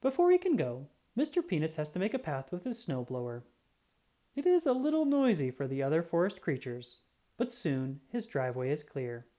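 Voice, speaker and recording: A woman reading a children's storybook aloud in an expressive, unhurried way. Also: sits low. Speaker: children's book reader.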